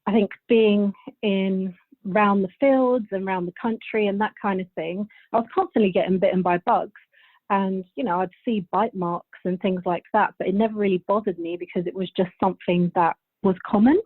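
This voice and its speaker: A woman's voice: medium pitch, steady tone, normal speed, dry recording, phone-quality sound. A thirty-year-old woman from Bulgaria who moved to England as a child, chatting casually as a podcast guest. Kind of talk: casual interview chat.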